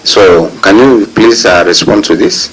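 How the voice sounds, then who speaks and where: A man's voice: on the low side, measured pace, poor audio. A man chairing a committee hearing, firm about time but hesitant with fillers. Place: parliament committee room.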